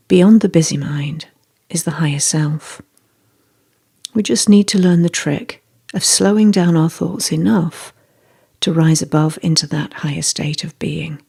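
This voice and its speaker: A meditation guide reading a scripted guided meditation in a soft, breathy, measured and deliberate way. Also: medium pitch.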